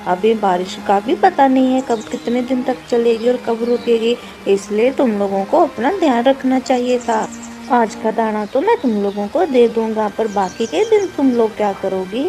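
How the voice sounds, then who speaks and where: A woman voicing a sensible, concerned male pigeon neighbour who gently admonishes, in a children's cartoon. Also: fairly high pitch, natural voice. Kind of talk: children's cartoon story acting.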